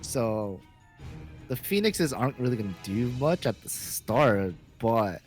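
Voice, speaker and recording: Joking caster with an animated voice. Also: male voice, mid-range voice, measured pace, soft background music, poor audio. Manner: joking, playful teasing. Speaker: esports caster. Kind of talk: live game commentary.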